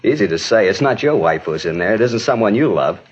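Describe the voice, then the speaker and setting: Distraught, husky-voiced husband. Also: male voice, fairly low pitch, lively intonation, brisk. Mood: angry, frustrated. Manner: anguished, repetitive, emotional. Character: distraught, exhausted, bitter husband of an injured woman. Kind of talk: 1950s radio drama acting. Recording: dull, muffled 1950s radio recording.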